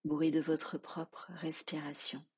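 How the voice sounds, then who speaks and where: A woman teaching yoga nidra, leading a guided relaxation in a soft, calm, measured way with long pauses. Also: sits low, husky.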